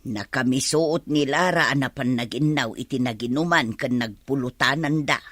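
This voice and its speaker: Woman acting a sharp, scolding village mother. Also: extremely low pitch, moderate pace, dry recording, clean studio sound. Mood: dismayed. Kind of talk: Ilocano radio comedy drama acting.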